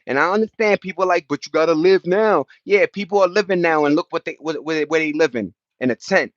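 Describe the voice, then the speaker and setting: A man's voice: fairly high pitch, fast talker, dry recording, highs cut off. A man with an American accent talking casually on a livestream, passionate and emphatic, rambling in slangy street talk. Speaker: livestream host reading and answering viewer chat.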